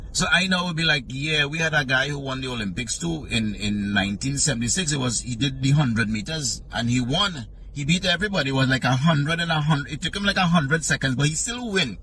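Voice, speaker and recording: A man with a Trinidadian accent telling a humorous travel story in an animated, playfully exaggerating way. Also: distant mic, a little hiss or hum. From Trinidad and Tobago. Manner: animated, playfully exaggerating, rising pitch for punchlines.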